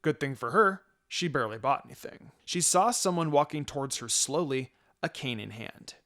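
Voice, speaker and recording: A man's voice: medium pitch, animated, moderate pace, fairly bright tone. A man narrating a fan fiction story, reading scripted prose aloud. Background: music.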